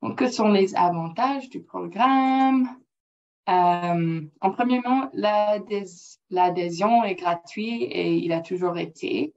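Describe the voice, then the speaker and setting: A woman whose first language is English presenting slides in French, careful and halting with non-native phrasing, over a computer mic on a video call. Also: medium pitch, a little mellow. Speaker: project officer presenting. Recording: dull lo-fi call audio.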